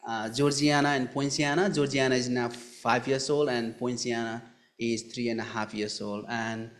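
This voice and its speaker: A man's voice: mid-range voice, flat delivery, moderate pace. Earnest Nepali-accented man telling his story. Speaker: guest giving his testimony. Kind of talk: personal storytelling in a live interview.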